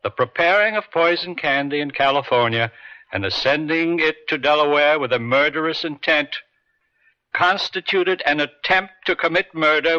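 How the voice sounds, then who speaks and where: An actor playing a stern judge in an old-time radio drama, speaking in a measured, formal, authoritative way. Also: male voice, fairly high pitch, bright, forceful tone. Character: stern, deliberate male judge delivering a ruling. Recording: dull vintage radio drama recording.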